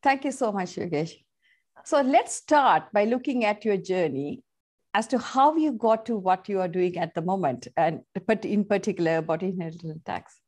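A woman's voice: mid-range voice, animated, moderate pace, a little mellow. A middle-aged woman hosting an online talk show over a video call, speaking in an earnest, welcoming way. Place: home, virtual studio background.

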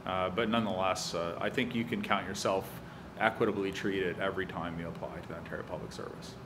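A man's voice: sits low, steady tone, quick pace, background noise. A man in his thirties whose first language is French, answering student questions on a panel in a lecture room at a table mic, earnest and emphatic. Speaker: panelist, public servant. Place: university lecture room, indoors. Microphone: table microphone, seated.

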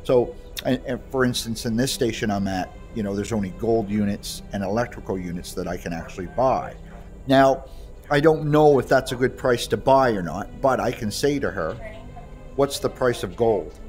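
A man giving a casual, halting, conversational gameplay demonstration. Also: on the low side, a little mellow. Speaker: creator demonstrating his voice pack. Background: music behind the voice.

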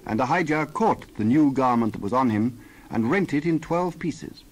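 A man narrating scripture as a scripted dramatized reading, measured and solemn, with careful phrasing. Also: medium pitch, lively intonation, poor audio.

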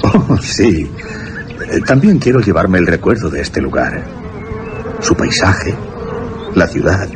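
An actor playing an earnest, dreamy young English gentleman archaeologist in a Spanish radio drama. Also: low voice, takes it slowly, pauses often, background noise, heavily acted. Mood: tender, curious. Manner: dreamy, expressive delivery. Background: music behind the voice.

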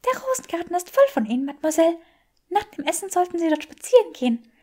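An impudent little female servant creature for a storybook. The voice is extremely high and lively. It sounds formal, knowing and falsely innocent. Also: fast talker. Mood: helpful, sly. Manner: cheeky, mock-innocent, formal.

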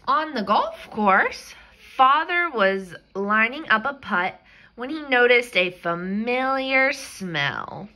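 A woman reading a picture book aloud expressively for children, explaining as she goes like a teacher. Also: mid-range voice. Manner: slow, animated, explanatory storytelling. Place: indoors, picture book filmed from above.

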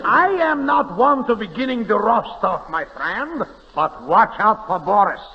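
A brash Russian immigrant in a radio comedy, blustering in thickly accented broken English. The voice is high and gravelly. It echoes on a muffled 1930s recording. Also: male voice, expressive, unhurried, heavily acted. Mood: indignant. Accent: mock Russian.

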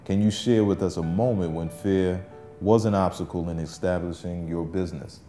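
A man interviewing a cafe owner, asking measured, formal questions. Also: low voice, steady tone, warm tone, roomy sound, faint music behind.

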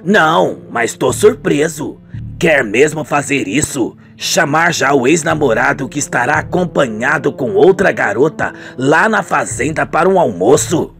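A man acts out a watchful Brazilian father in a radio soap. His fairly high voice rises and falls freely as he questions and admonishes, with faint music behind. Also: expressive, measured pace, gravelly, fairly bright tone, full character voice. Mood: surprised. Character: doubtful, watchful middle-aged father of a grown daughter. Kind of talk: amateur radio soap opera acting.

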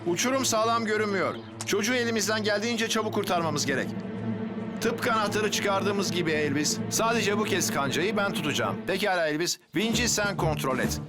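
Man playing a high-pitched, dependable hero firefighter. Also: brisk, close to an everyday voice. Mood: focused, serious. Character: calm, dependable heroic adult male firefighter. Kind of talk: animated children's series dub. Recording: loud music behind the voice.

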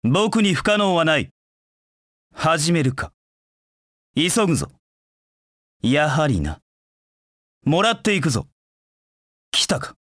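An actor voicing a proud, cold dark knight in a video game, with curt, haughty, disdainful delivery. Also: male voice, fairly high pitch, big pitch swings, slow pace, long pauses, dry recording, studio quality, exaggerated voice. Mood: forceful, challenging, then confident, aloof. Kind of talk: video game voice acting in short battle lines.

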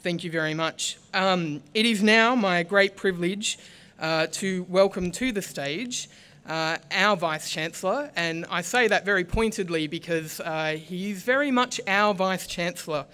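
Clear-voiced woman at the lectern. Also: on the low side, moderate pace, fairly bright tone. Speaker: master of ceremonies. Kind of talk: formal welcome speech. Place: outdoor stage at a large ceremony. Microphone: lectern microphones.

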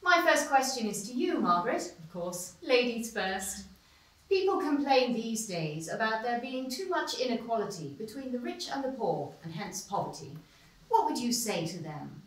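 A woman playing a brisk TV presenter. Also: a little high, big pitch swings, a bit bright. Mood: bright. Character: polished female TV news presenter. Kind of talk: stage play acting. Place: small stone-walled theater stage. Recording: roomy, distant stage sound.

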